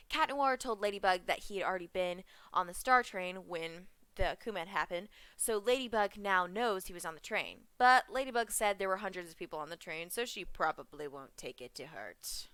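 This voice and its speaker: A young woman chatting in an excited fan reaction vlog, giggling, making puns and drifting off track. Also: mid-range voice, smooth, dry recording. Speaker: vlogger reviewing a cartoon episode.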